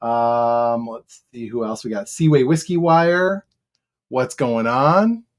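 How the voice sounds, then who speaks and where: A woman hosting a casual livestream from a basement, talking loudly into one shared table mic and greeting viewers by name. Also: extremely low pitch, slow pace. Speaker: show host. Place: basement studio. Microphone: one shared table microphone.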